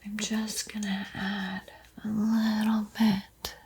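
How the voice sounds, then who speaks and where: A woman whispering an ASMR painting tutorial in a breathy, soothing, gently encouraging way. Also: medium pitch, level tone, slow. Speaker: art tutorial host.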